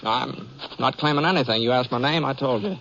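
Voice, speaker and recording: Laconic cowboy on muffled old radio. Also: male voice, medium pitch, fairly quick, gravelly, pushed and bright. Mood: laconic, unruffled. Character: easygoing, watchful western cowboy gunman, adult man. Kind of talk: old-time western radio acting. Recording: muffled, noisy vintage radio recording.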